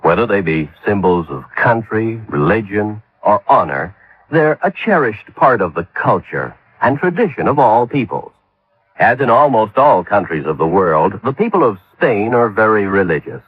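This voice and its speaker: Male announcer, husky and expressive, formal old-time radio narration, thin phone-like vintage recording. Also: fairly low pitch, moderate pace, dry recording. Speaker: announcer of a radio public-service message.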